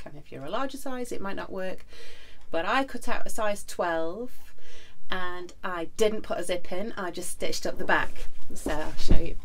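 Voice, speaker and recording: A woman in her forties, hoarse from the flu, chatting casually to camera in her home sewing room. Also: medium pitch. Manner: chatty, friendly, many ums and asides. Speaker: sewing vlogger. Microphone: camera in front at desk.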